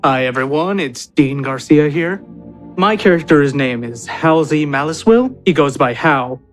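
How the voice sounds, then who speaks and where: A man speaks casually as a tabletop game player. The voice is a little high for a man, with light music playing underneath. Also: animated, a little slow.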